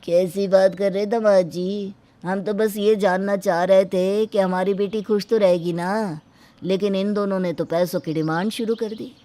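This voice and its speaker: A woman's voice: mid-range voice, steady tone, measured pace, warm tone, no room sound, natural voice. A woman playing an earnest, pleading family member in a dramatic moral story.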